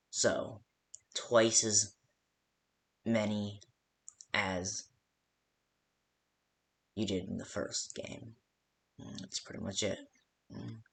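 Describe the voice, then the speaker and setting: Casting: male actor as rambling night-shift phone guide for a game, low gravelly voice, hesitant and unpolished. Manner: hesitant, rambling, full of stops and second thoughts. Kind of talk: improvised-sounding instructional phone call for a game.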